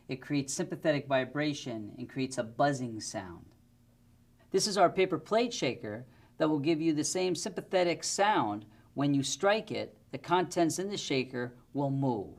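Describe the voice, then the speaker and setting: A middle-aged man giving a methodical, step-by-step music lesson to the camera, speaking into a lapel mic in a studio. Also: medium pitch. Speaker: music teacher. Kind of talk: instructional demonstration to camera. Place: indoor studio with plain backdrop.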